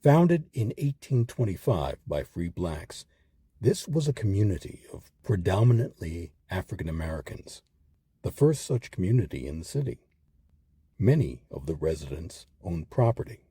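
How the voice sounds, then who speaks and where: A man reading scripted documentary narration in a measured, articulate way with emphatic phrasing. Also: sits low, big pitch swings, warm tone, husky, no room sound. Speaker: narrator of a history program.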